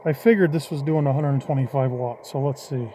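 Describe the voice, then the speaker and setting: A middle-aged man giving a casual hands-on demonstration in a folksy, informal way, thinking out loud as he goes. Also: medium pitch, fairly quick. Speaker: hobbyist vlogger demonstrating a CB radio. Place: in or beside a parked vehicle. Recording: clearly noisy handheld amateur recording.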